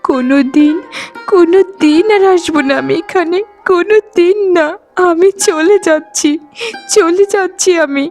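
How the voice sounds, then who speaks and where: A woman voicing a meek, heartbroken wife in a cartoon melodrama, tearful and halting, repeating her words as she pleads. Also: very high voice, darkish tone, soft background music. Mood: tearful, resigned. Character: meek, wronged, heartbroken wife, forgiving and humble.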